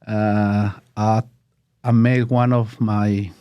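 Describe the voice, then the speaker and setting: A man in his fifties from Mexico, a native Spanish speaker with a Mexican accent, speaking as a guest in a casual interview and often pausing to search for words in English. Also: on the low side, little pitch movement, smooth, muffled recording.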